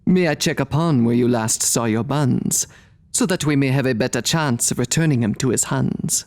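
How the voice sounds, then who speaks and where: A man's voice: mid-range voice, normal speed, a little mellow, close to an everyday voice. A man voicing a formal, mystical zebra shaman who speaks in rhyme in an audio drama. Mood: courteous. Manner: formal, rhyming.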